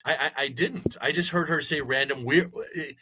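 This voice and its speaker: A middle-aged man co-hosting a podcast, chatting casually and telling jokey stories with lively retellings. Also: fairly high pitch, normal speed, noisy background. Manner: joking storyteller, animated retellings. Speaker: podcast co-host. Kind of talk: casual chat.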